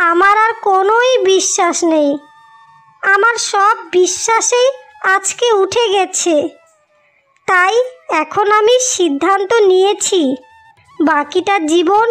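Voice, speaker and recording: Woman voicing a very high-pitched heartbroken elder sister. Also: fairly bright tone, full character voice. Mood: grieving. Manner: plaintive, drawn-out lamenting. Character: wronged, self-sacrificing elder sister, heartbroken. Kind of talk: melodramatic cartoon fairy-tale acting. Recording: music behind the voice.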